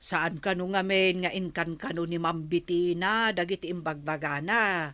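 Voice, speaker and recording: A woman's voice: on the low side, moderate pace, fairly bright tone, no echo, natural voice. A woman acting an inquisitive, gossipy household maid with a drawl in an Ilocano radio drama. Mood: gossipy, teasing.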